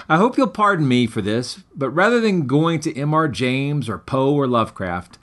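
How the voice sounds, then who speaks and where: A man's voice: mid-range voice, a bit bright. A man hosting and reading his own story aloud in a wry, mock-grave, dramatic manner.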